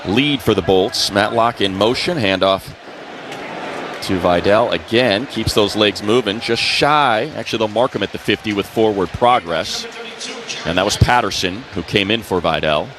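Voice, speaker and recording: An American man works as a play-by-play announcer on a football radio broadcast. His voice sits low, and there is clear stadium noise behind him as he calls the action. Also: normal speed. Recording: noisy stadium booth broadcast. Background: stadium ambience.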